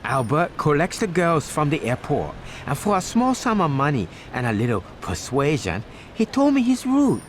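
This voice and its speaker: A man playing a ruthless gang boss in a video game cutscene, giving orders in formal, measured phrases with menace. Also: on the high side, wildly swooping pitch, a little hiss or hum. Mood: commanding.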